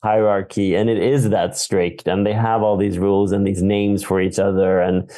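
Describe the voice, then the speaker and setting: A man from Sweden, a film director in a stage Q&A, speaking in a thoughtful, halting way with many fillers and restarts. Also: fairly low pitch, flat delivery, dark tone. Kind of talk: Q&A conversation on stage. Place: theater.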